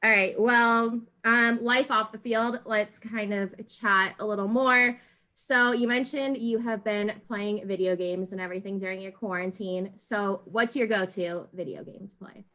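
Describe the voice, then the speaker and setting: Bright-voiced American woman hosting a podcast. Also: mid-range voice, fairly even intonation, unhurried. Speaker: podcast host, interviewer. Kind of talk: casual interview chat.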